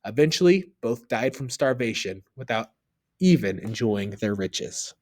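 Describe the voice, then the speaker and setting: A man reading a children's story aloud, expressive, dipping low and quiet on the narration tags. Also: unhurried.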